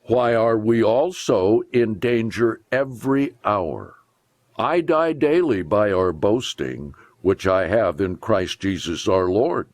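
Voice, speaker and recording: Male preacher for solemn scripture reading: low voice, widely varied intonation, deliberate and emphatic delivery. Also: expressive, no echo.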